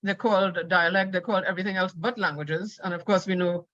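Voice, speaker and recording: A woman from Trinidad and Tobago gives an academic lecture in a loose, conversational way, pausing and rephrasing as she goes. The recording is dry and dull, with little room sound. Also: fairly low pitch, steady tone, fairly bright tone, no echo. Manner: conversational, with frequent fillers and restarts. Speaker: conference plenary speaker.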